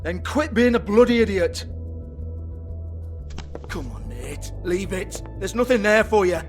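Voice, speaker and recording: A man's voice: high voice, big pitch swings, unhurried, faint music behind. A man playing a foul-mouthed, shifty club boss in a video game, with a British accent and a sarcastic, taunting manner. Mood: exasperated. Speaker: game character, shady club owner.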